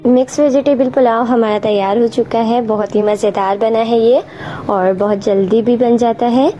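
A woman with a high voice narrates a recipe step by step as a home cook. Her voice-over sounds echoey, as if in a bare room, and music plays clearly behind her. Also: fairly even intonation, normal speed, dark tone, lo-fi sound. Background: background music.

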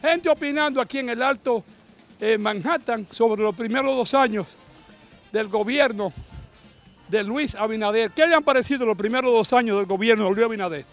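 A middle-aged Dominican man with a very high voice works a busy city sidewalk, handheld mic out, calling to passersby in a brisk, friendly way. Faint music plays under the street sound. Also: fairly bright tone. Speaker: street reporter. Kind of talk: street vox pop interviews.

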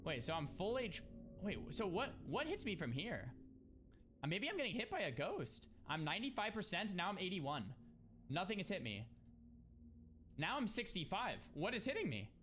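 A man's voice: high-pitched, normal speed, faint music behind. A young man streaming a video game from a small room on a headset mic, puzzled and then thrilled as he talks through what is happening. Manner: excitable, puzzled, exclaiming. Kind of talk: live gameplay commentary.

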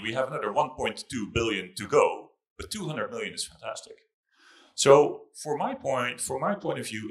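A middle-aged man giving a keynote speech to an audience through a handheld microphone, with a halting delivery and repeated words. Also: lowish voice, fairly bright tone, rough recording. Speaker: conference keynote speaker, investment fund chief executive. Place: large indoor conference hall stage.

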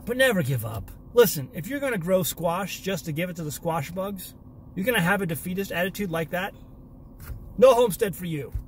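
Casting: man in his thirties, homestead vlog host, fairly high voice, emphatic and repeating himself for emphasis, selfie from a parked car with faint background hum. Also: lively intonation, a little fast. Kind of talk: casual selfie vlog rant. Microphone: phone or camera at arm's length.

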